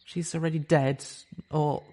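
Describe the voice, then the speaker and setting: A man voicing a refined, fragile unicorn mare healer who speaks softly and pleadingly. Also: a little high, level tone, a little slow, pitched above the performer's own voice, lightly acted. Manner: soft, pleading.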